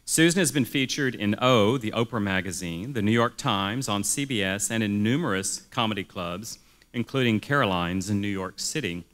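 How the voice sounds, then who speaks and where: Bright-voiced man introducing a speaker. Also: on the low side, normal speed. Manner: upbeat, reading a prepared introduction. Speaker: event emcee introducing the speaker. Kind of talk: formal introduction.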